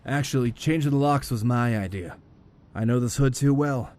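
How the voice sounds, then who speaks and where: A man's voice: mid-range voice, darkish tone, natural voice. Man as awkward, evasive young neighbourhood guy. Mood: surprised, casual. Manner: casual, halting, evasive. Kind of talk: dramatic audio drama acting.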